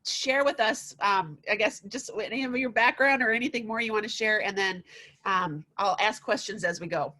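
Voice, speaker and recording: A woman's voice: medium pitch, normal speed, pushed and bright. A woman hosting an online session, introducing her guest with praise and frequent hesitant restarts. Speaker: host. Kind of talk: introducing a guest on a video call.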